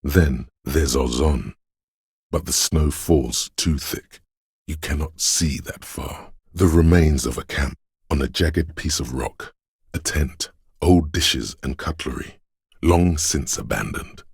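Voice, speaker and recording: A man voicing a brooding, all-seeing inner voice in a video game, narrating slowly and solemnly in a hushed, gravelly husky tone. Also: a bass voice, no echo, studio quality, heavily acted. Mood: solemn, haunting. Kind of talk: slow atmospheric scripted game narration.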